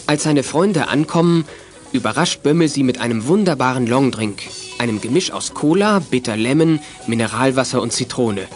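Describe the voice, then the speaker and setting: A man's voice: mid-range voice, expressive, normal speed, soft background music. A man narrating a children's audio drama from a script in a knowing storytelling manner.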